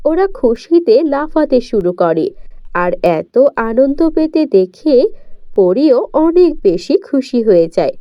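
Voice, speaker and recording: A woman's voice: sits high, very animated, slow pace, dry recording, lo-fi sound. A woman narrating a scripted fairy tale for children as a storyteller.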